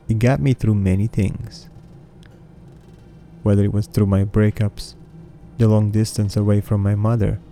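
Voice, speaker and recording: A man in his thirties whose first language is Russian, hosting a podcast and reading his own lyrics in an earnest, measured recitation. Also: on the low side, gravelly, mellow, a little hiss or hum. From Russian-speaking background. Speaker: podcast host. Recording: faint music bed behind voice.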